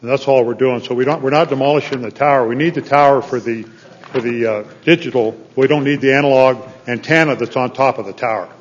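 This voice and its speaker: A man's voice: mid-range voice, quick pace. A man speaking as a senator on a legislative floor, earnest and plain-spoken, often restarting his phrases. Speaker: state senator. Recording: dull lo-fi chamber audio.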